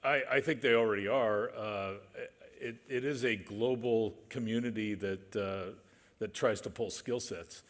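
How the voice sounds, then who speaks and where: A man speaking as a manufacturing executive on a conference panel, measured and hesitant with many fillers. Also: on the low side. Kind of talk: panel discussion. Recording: reverberant conference hall.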